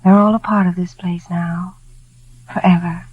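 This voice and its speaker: An actress in a 1940s radio drama playing a shy, dreamy young village woman who speaks in a hushed, wistful storytelling way. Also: on the low side, flat delivery, moderate pace, breathy edge, no echo. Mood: reverent. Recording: muffled vintage 1940s radio recording.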